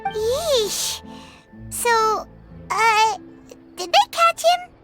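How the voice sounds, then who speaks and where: Squeaky, excitable little companion creature. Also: female voice, very slow, full character voice. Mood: curious. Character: tiny floating female companion, chirpy and curious. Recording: game score playing behind. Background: music.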